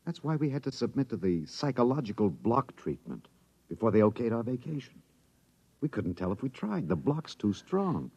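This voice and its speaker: An actor playing a tense, hunted husband on the run in a 1950s radio drama, urgent and protective. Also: male voice, medium pitch, very animated, moderate pace, mellow, slightly rough, dry recording, dull audio. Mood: grim, anxious. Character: tense, hunted husband, a fugitive time traveler.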